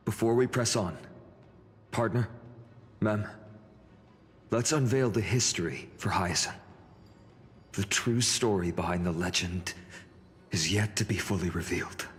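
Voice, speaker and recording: A man playing a composed, courteous, purposeful adventurer in a fantasy game, speaking in a measured, formal way. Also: lowish voice, close to an everyday voice. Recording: hall reverb with music.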